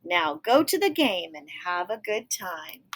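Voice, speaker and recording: A woman voicing a kindly, brisk old fairy godmother in a picture-book read-aloud, sing-song and reassuring. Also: on the low side, big pitch swings, fairly bright tone, heavily acted.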